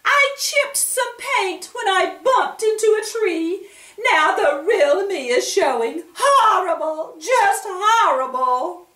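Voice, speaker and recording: A woman's voice: extremely high pitch, lively intonation, slow pace, fairly bright tone, pitched above the performer's own voice, exaggerated voice. A woman voicing a distraught female wooden wemmick, the mayor's wife, wailing and crying in melodramatic dismay. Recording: roomy, distant mic.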